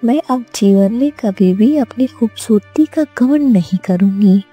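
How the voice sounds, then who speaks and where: A woman's voice: on the high side, expressive, measured pace, warm tone, breathy edge, faint music behind. A woman voicing a vain young princess in a cartoon story, with a self-important, dramatic manner. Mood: pleading.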